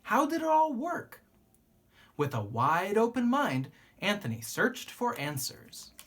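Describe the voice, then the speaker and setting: A teacher reading a children's picture book aloud in an animated way, with drawn-out emphasis and a slightly husky voice. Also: medium pitch. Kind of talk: expressive children's storybook read-aloud.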